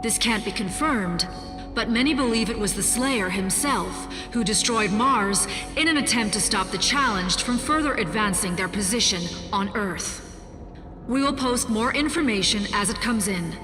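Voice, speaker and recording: An actress playing a composed emergency broadcast announcer in a video game, reading news in a formal, measured manner. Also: female voice, mid-range voice, animated, natural voice. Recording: long hall reverb, music behind.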